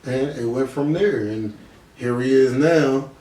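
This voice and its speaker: A man's voice: medium pitch, a little slow, dark tone. A man with a Philadelphia accent in casual podcast conversation, talking in slangy, profane language. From Philadelphia, United States. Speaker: podcast host. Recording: roomy echo, distant mic, faint noise.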